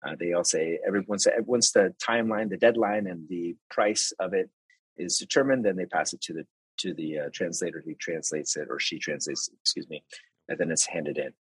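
Deep husky man explaining his trade. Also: a little fast, no echo, low-quality recording. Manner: explains step by step with examples, many restarts. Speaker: guest, translator and interpreter. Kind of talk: casual interview talk.

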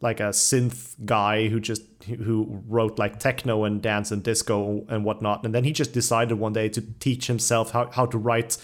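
A man's voice: fairly low pitch, flat delivery, moderate pace, few pauses. Man hosting a casual, eager interview. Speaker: podcast host interviewing a composer. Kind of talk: casual enthusiastic interview chat.

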